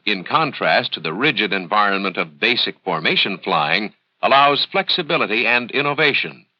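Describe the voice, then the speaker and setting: An American man narrating a formal instructional film script in a measured, authoritative, precise manner, on an old 1970s film soundtrack. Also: on the low side, dry recording. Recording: dull, dry 1970s training film soundtrack.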